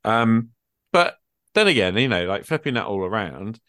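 A man's voice: lowish voice, very animated, normal speed, fairly bright tone, clear, resonant tone, no echo, clean studio sound. A man speaking as a guest in a casual discussion, informal and rambling, often checking in with the listener. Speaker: guest, experienced game player.

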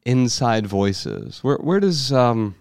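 A man hosting a podcast, chatting casually and reflectively, thinking ideas out loud and asking questions. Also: medium pitch, sing-song, takes it slowly, vocal fry, clear, resonant tone, no echo, studio quality. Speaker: podcast host.

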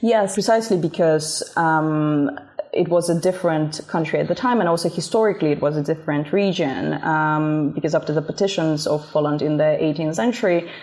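A woman from Ukraine, a native Russian speaker, answering interview questions in a measured way with frequent hesitations. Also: deep voice, a little mellow. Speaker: guest, art expert.

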